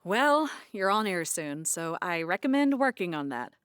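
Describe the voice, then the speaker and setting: An actress playing a practical woman bookkeeper in an audio drama, explaining things frankly with gentle sarcasm. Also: fairly low pitch, sing-song, moderate pace, clear, resonant tone, no room sound, clean studio sound. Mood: supportive. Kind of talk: naturalistic audio drama dialogue.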